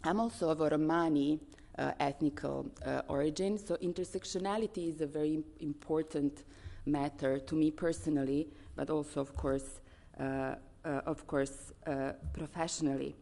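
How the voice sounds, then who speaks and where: A woman from Serbia with a Serbian accent giving a formal conference speech as an advocate, in an earnest, halting way with deliberate emphasis on listed points. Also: low-pitched, fairly even intonation, creaky, warm tone. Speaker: advocacy organisation representative speaking at a conference.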